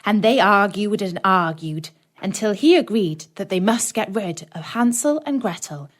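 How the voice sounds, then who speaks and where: Lady reading a kids story, takes her time, gentle, really up and down melody. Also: medium pitch, expressive, a little slow. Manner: gentle, addresses young listeners directly. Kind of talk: expressive children's story narration.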